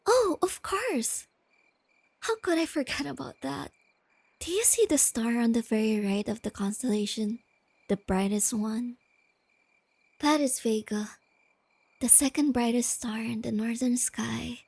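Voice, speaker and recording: A woman playing a shy, nerdy young girlfriend in a soft-spoken ASMR roleplay, sweet and earnest, explaining things gently and without hurry. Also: fairly high pitch, unhurried, creaky, pauses often, husky, dry recording, exaggerated voice. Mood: gentle, informative.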